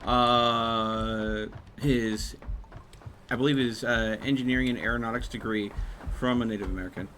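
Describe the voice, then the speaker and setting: Male game streamer, medium pitch, casual rambling talk, halting delivery, faint rumbling noise behind. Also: steady tone, a bit bright. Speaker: live streamer. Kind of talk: casual gaming livestream chat.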